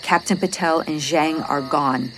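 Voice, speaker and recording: A woman's voice: low voice, unhurried, a bit bright, slight background noise. An actress playing a stressed, weary space mission officer who is protective of her crew, in an audio drama. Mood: anxious, strained. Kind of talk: audio drama acting, logged report monologue.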